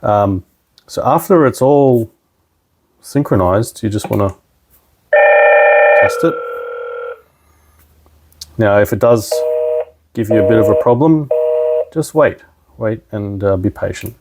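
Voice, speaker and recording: A man with a fairly low voice walks the listener through a practical tutorial. There is plenty of gravelly fry in his delivery. He explains each step informally, like a trainer showing installers the ropes. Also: animated, slow, pauses often, very noisy. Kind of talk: casual tutorial walkthrough.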